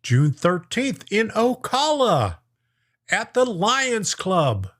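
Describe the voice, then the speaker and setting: A man's voice: high voice, big pitch swings, slow pace, fairly bright tone. Folksy man hosting a chatty live stream. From lives in Florida. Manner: folksy, chuckling, talks to viewers by name. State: voice getting worn out. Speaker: host of a live stream on model trains. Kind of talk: casual live-stream chat reading viewer comments.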